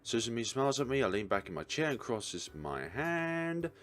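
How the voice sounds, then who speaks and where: A man's voice: mid-range voice, big pitch swings, normal speed, fairly bright tone, soft background music. A man reading a visual novel's story aloud as a let's player. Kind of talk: reading narration and character lines aloud.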